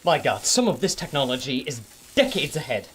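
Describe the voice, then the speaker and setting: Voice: medium pitch, sing-song, brisk, fairly bright tone, slight background noise. An actor playing an awestruck, courteous doctor of science with a formal, theatrical manner in a radio adventure. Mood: astonished. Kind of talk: old-time radio adventure acting.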